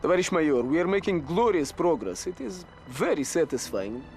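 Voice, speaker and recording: A vengeful young firebrand of a Soviet captain in a game cutscene, speaking high and insistently in a Russian accent. Loud music plays behind him. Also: male voice, sing-song, fairly quick. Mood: cold resolve. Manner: intense, insistent, defiant. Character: hot-headed, vengeful Soviet army captain. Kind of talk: video game cutscene acting.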